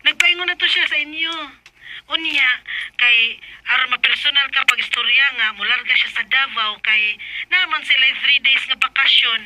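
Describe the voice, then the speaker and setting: Sharp, bright young Bisaya friend. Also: female voice, high-pitched, normal speed, poor audio. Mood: reproachful, explaining. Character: frank young female friend defending her wronged cousin. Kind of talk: Bisaya radio drama acting.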